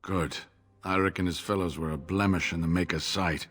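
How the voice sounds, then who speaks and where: An actor playing an older, injured male scholar in a video game, weary and sarcastic, talking in a measured way. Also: low voice, fairly even intonation, fairly bright tone. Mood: bitter. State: injured, exhausted. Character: older male scholar, wounded captive, wry. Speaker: game character.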